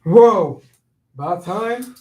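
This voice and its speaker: A man's voice: high voice, lively intonation, drawn-out words, a little mellow, exaggerated voice. A man acting an earnest, worried and insistent friend in a staged play reading, blunt and pressing. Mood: agitated. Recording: echoey room.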